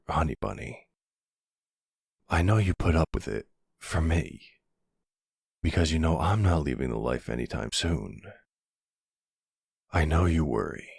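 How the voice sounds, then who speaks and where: A man playing a protective, doting middle-aged mafia boss in an intimate roleplay, speaking slowly with pet names in a gruff, husky voice. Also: dry recording, clean studio sound, exaggerated voice. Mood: tender, apologetic. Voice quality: low gravelly, husky. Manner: slow, affectionate, uses pet names. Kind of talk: intimate ASMR boyfriend roleplay.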